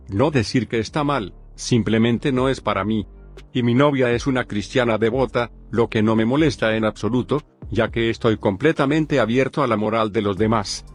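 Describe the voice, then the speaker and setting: A man with a mid-range voice narrates a first-person story at an even, measured pace. Soft music runs quietly beneath him. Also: mid-range voice. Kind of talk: reading a forum story aloud. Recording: faint background music.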